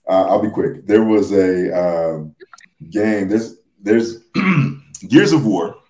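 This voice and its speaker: A man talking casually about games as a podcast panelist, haltingly, often repeating and restarting his phrases. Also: low voice, dark tone. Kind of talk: casual gaming discussion. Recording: roomy, echoing sound.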